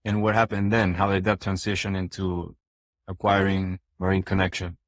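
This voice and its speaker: A male podcast host with a deep, level voice and little rise and fall. He puts short, direct questions to his guest and answers with brief encouragement. The sound is dry and close. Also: flat delivery, normal speed, no echo. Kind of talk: interviewer questions.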